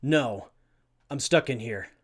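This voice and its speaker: Man acting a weak, very slow-speaking young survivor. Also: medium pitch. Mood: weak, relieved. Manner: halting, faint. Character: weak, dazed young man trapped in power armor. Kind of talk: post-apocalyptic radio drama.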